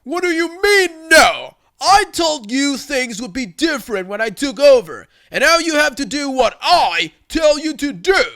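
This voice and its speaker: A man's voice: very high-pitched, sing-song, a little slow, few pauses, sharp tone, pitched above the performer's own voice, full character voice. A man voicing a bossy, furious male store buyer who blusters and barks demands in a narrated online story.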